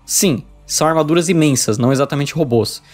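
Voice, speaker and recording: A fast-talking Brazilian man narrates a scripted review with faint music behind him. His voice sits a little high, and he keeps an informal, wry tone. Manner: wry, jokey. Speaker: video essay reviewer.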